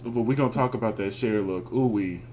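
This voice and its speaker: A man's voice: fairly low pitch, a little mellow, a little hiss or hum. Chuckling Texan man, Southern accent. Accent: Texas Southern. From Texas. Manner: laid-back, chuckling, drawn-out reactions. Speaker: podcast co-host. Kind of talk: casual review chat.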